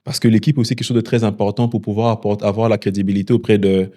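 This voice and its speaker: Young guy, founder talking in an interview, lots of euhs, warm low-key voice. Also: flat delivery. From DR Congo. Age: 20s. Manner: measured, with many hesitations. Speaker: founder and CEO of a delivery startup. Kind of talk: interview answers.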